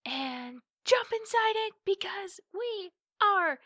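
A woman playing a playful, teasing, affectionate big sister in an ASMR roleplay, chatting to the listener with drawn-out words and hushed asides. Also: very high voice, big pitch swings, dry recording, exaggerated voice. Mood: playful, eager.

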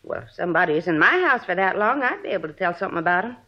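An American actress playing a gossipy, plain-spoken middle-aged married neighbor in a 1950s radio drama. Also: female voice, lowish voice, lively intonation, racing. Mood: gossipy, suspicious. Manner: folksy, colloquial, gossipy. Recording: dull vintage radio recording.

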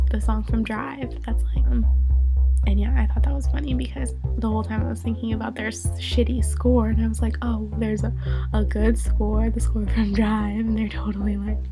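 A young woman with a whiny voice, getting over a cold, rambling through a casual film review into a webcam in her bedroom. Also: a little high. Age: young adult. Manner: chatty, rambling, lots of "like" and restarts. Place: small bedroom, indoors. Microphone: webcam at arm's length.

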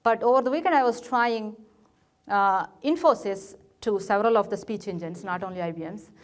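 A woman's voice: mid-range voice, normal speed. A middle-aged woman with an Indian English accent giving a technical presentation in a lecture room, with many fillers and restarts. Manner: frequent fillers and restarts, gestures at slides. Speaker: conference speaker. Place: lecture room, presenting in front of a projected slide.

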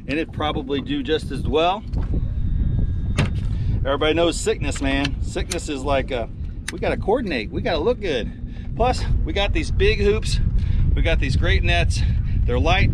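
A middle-aged man, a fishing guide, talking casually and enthusiastically to camera while fishing from a boat on a lake, recorded on an action camera. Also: heavy background noise. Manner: enthusiastic, chatty salesman pitch with fillers. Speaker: fishing guide and channel host. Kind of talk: outdoor fishing vlog, product talk.

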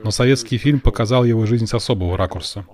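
Low-voiced Russian man, measured and modest. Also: a little mellow. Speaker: blogger interviewing a guest. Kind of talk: calm Russian voice-over of a translated conversation.

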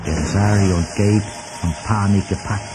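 Male narrator, fairly deep, scripted dramatic storytelling for a kids' radio play, clear music bed. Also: steady tone, normal speed, rough recording.

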